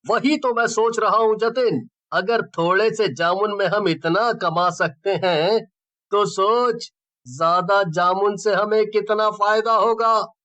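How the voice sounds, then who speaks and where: Greedy, high-voiced young schemer played by a man. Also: takes it slowly, bright, forceful tone, heavily acted. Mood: eager. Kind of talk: cartoon story acting.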